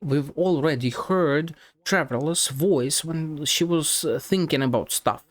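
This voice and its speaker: A man's voice: on the high side, a little slow, no echo. A man giving casual, blunt playthrough commentary as a game streamer, musing offhand and swearing. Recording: game music behind the voice.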